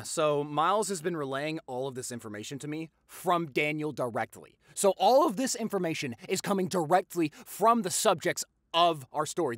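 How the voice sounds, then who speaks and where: A man playing a popular boyfriend eagerly telling gossip to his partner in a conversational audio roleplay, with dramatic pauses and repeats for emphasis. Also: sits high, fairly bright tone, no echo, clean studio sound, full character voice. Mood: gossipy, excited.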